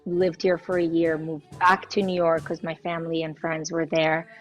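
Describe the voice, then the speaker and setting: A young woman from Israel speaks with a low, warm voice as an interview guest. She answers in long, flowing stretches with easy fillers and sounds proud. Soft music plays faintly behind her. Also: level tone, normal speed, dull audio. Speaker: guest singer. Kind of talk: casual interview chat.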